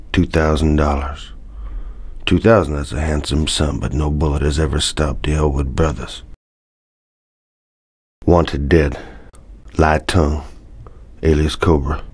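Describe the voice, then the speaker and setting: A stern wild-west narrator for a video game. The voice is extremely deep and barely moves in pitch, deadpan and deliberate with a wry edge. It is recorded close and dry. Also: male voice, dry recording. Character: stern old-west narrator reading wanted posters. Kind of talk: scripted western game narration.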